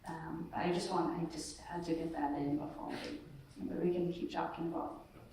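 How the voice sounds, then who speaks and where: Woman gallery organizer, mellow and low, friendly announcements, far echoey room. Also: level tone, normal speed, a little hiss or hum. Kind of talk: casual panel discussion. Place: large echoey gallery, live event.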